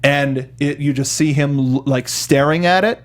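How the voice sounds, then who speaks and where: A man co-hosting a review show in casual, animated chat, often acting out imagined lines and reactions as he talks. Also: a little high, measured pace. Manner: acts out imagined lines and reactions while talking. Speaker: co-host of a film review show.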